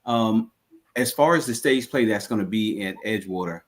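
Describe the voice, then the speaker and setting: Low-voiced male interviewer, halting delivery. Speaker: interviewer, radio show host. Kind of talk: conversational interviewing.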